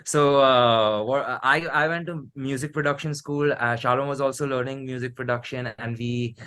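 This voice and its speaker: A man's voice: medium pitch, fairly even intonation, normal speed, fairly bright tone, clear, resonant tone. A man in his thirties with an Indian accent, chatting casually as a musician being interviewed, circling back and restarting his phrases as he tells anecdotes. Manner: rambling, repeats and restarts phrases.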